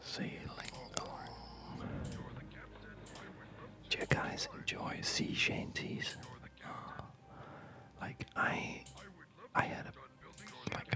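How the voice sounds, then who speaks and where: A man whispers breathily and haltingly in an unhurried ASMR chat while playing a game, close to a studio microphone at home. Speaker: ASMR gamer. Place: quiet home at night. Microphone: close studio condenser microphone.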